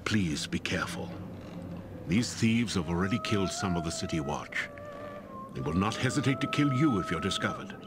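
An actor playing a grave, scholarly agent of a secret order in a fantasy video game, speaking in a formal, measured way. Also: male voice, low-pitched, animated, music in the background. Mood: cautioning.

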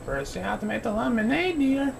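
A man's voice: extremely high pitch, big pitch swings, brisk, vocal fry, background noise, pitched above the performer's own voice, exaggerated voice. A man voicing a kindly mother frog who corrects her child in a children's storybook. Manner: calling out, motherly.

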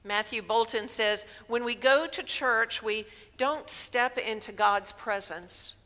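Woman, 60s, pastor, sermon, unhurried delivery, reverberant sanctuary. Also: on the high side, sharp tone. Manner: measured, emphatic preaching with gestures. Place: large church sanctuary, indoors. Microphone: headset mic. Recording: long reverb of a church hall.

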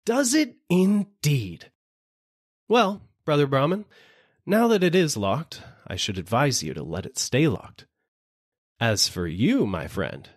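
Here is a man performing a small, sly storybook jackal who feigns puzzled, apologetic slowness. The pitch lifts and swoops in an animated way, and the voice is slightly husky. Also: no echo, clean studio sound, exaggerated voice. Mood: smug. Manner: vacant, apologetic, exaggeratedly puzzled. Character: sly little jackal feigning slow-witted politeness.

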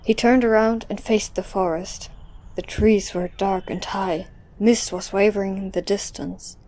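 A woman's voice: medium pitch, fairly even intonation, unhurried, darkish tone, a little hiss or hum, poor audio. A woman giving a scripted, dramatic narration as the storyteller of an audio drama.

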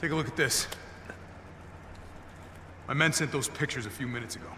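A man's voice: mid-range voice, fairly quick, lightly acted. A middle-aged man acting a hardened, weary military captain in a video game, terse and commanding. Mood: firm, explaining. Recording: echoey reverberant space, faint noise.

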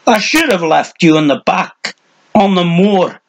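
Cranky old hermit, high-pitched and strident, ranting and husky. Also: male voice, lively intonation, a little slow, full character voice. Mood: angry ranting. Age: older. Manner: shrill, ranting, muttering. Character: cranky old hermit man, thin and hunched.

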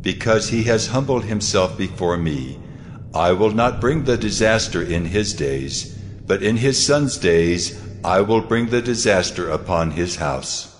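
Deep, cavernous voice of God. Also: male voice, animated, measured pace. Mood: solemn. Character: God speaking, solemn commanding male divine voice. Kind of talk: dramatized audio Bible acting. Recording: long reverb, heavy rumbling noise.

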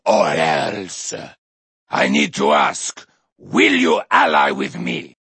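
An actor playing a crazed, bloodthirsty male Viking warrior in a racing game, shouting gravelly taunts and battle cries with manic cackles. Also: extremely low pitch, takes it slowly, no room sound, heavily acted. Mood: menacing growl. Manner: boastful, taunting battle cries, sudden drops to a menacing growl. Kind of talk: video game character voice lines.

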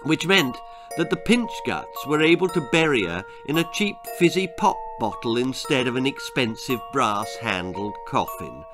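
A man's voice: medium pitch, very animated, moderate pace, over music. A man in his sixties reading a children's story dramatically. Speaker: author reading his own stories. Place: small book-lined room at home.

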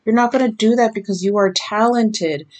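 A woman in her thirties, raspy from lack of sleep, giving a slow, emphatic tarot reading to the camera in a small bedroom. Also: medium pitch, darkish tone. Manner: slow, emphatic, drawn-out warnings. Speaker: tarot reader. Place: small decorated bedroom indoors.

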